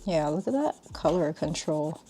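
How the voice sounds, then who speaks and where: Low-key monotone young woman. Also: husky. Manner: calm, unhurried, says "like" often. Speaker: art YouTuber reviewing markers. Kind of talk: casual product review chat.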